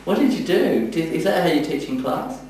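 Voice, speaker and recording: A man working as a yoga teacher trainer, giving encouraging, halting spoken feedback to a class. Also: fairly high pitch, sing-song, darkish tone. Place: echoey indoor room, from a distance. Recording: echoey room, distant mic, faint noise.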